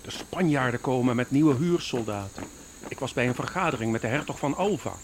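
A man acts an earnest spy in a historical radio play. He speaks formally and respectfully at a middle pitch, with lively intonation. There is faint outdoor ambience behind him. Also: fairly quick, noisy background, lightly acted. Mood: grave reporting. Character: deferential, earnest Dutch spy reporting to his prince.

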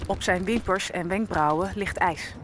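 A woman's voice: fairly low pitch, moderate pace, fairly bright tone, a little hiss or hum, close to an everyday voice. An actress playing a grieving enslaved African woman held on a ship, telling her story solemnly and poetically in a radio play. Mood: solemn, bleak. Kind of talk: dramatic first-person radio play narration.

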